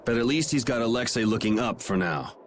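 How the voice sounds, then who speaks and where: A man's voice: medium pitch, animated, brisk, slight background noise, close to an everyday voice. An actor voicing a cool, sardonic young swordsman leading a party in a video game, casual and dry. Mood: calm. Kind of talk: English video game voice acting.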